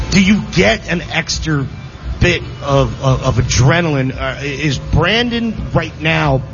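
A man hosting a sports talk show, talking casually with many restarts and fillers. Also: a little high. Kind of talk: casual sports radio chat. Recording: slightly muffled broadcast audio. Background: music in the background.

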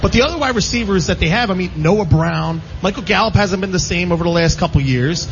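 Guy hosting a sports talk show, kinda high voice, rambly and opinionated, muffled recording. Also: quick pace. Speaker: sports radio show host. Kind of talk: casual sports panel discussion. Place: live broadcast from a casino. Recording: muffled, clearly noisy live venue recording.

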